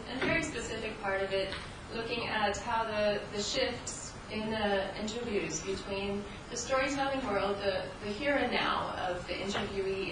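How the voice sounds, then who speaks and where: A woman researcher co-presenting at an academic conference, reflective and explanatory, with some hesitations. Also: medium pitch. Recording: echoey hall, distant mic, noisy.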